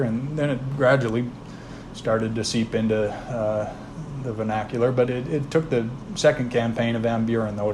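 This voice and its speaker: A man giving a casual spoken lesson on word origins, relaxed and thoughtful, often pausing with "uh" and restarting his sentences. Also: medium pitch. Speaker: host explaining word origins. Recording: noticeable background noise.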